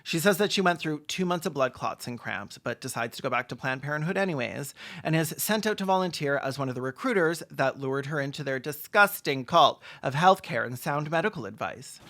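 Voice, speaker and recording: Sarcastic, deadpan video commentator, mid-pitched. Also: fairly quick, hardly any pauses. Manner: deadpan asides, mock-dramatic emphasis. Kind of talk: sarcastic comedic film commentary.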